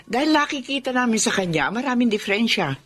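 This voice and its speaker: A woman's voice: on the high side, very animated, quick pace, fairly bright tone. An actress playing a middle-aged Filipino mother in a radio drama, protective and exasperated, scolding in an emphatic, reproachful way.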